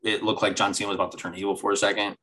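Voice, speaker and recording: A man's voice: lowish voice, flat delivery, racing, bright, forceful tone, slight background noise. A man co-hosting a podcast, chatting casually about a TV show and restarting his sentences often. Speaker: podcast co-host. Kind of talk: casual chat.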